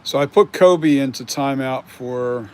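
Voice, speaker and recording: A man's voice: mid-range voice, unhurried, a little mellow, clear, resonant tone. A man in his sixties chatting casually on a stream, rambling and candid, with the odd swear word. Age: 60s. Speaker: mask and prop maker. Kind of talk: casual live-stream chat.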